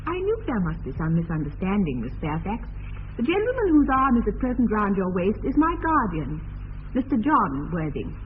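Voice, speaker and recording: An actress playing a sweet but sharp-tongued young country girl in a classic radio comedy. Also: medium pitch, wildly swooping pitch, normal speed. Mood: polite. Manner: prim, politely barbed. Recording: tinny lo-fi radio broadcast with hiss.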